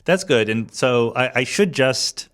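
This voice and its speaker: A man hosting a conversational interview podcast, speaking in a measured, thoughtful and articulate way. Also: mid-range voice, expressive, fairly bright tone.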